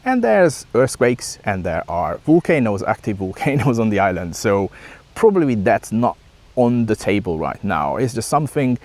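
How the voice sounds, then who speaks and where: A man's voice: on the low side, a little mellow. A man from Hungary with a Hungarian accent, talking reflectively as a vlogger in hesitant non-native English. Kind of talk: reflective talk to camera.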